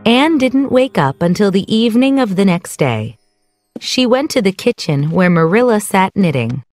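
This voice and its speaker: A woman giving scripted narration for a children's story in a clear storytelling delivery. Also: mid-range voice, big pitch swings, normal speed, darkish tone, no room sound, soft background music.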